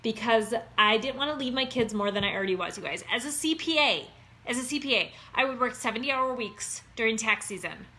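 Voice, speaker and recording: A woman's voice: on the high side, expressive, a little fast, sharp tone. A woman in her thirties from Minnesota with an Upper Midwest accent, an online wellness coach talking casually to her viewers in a chatty, upbeat way. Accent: Upper Midwest American. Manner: chatty and upbeat, keeps saying "you guys".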